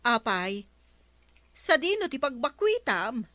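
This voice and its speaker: A woman's voice: high voice, big pitch swings, takes it slowly, pushed and bright, dry recording, full character voice. An actress with an Ilocano accent playing an inquisitive village councilwoman in a radio comedy drama.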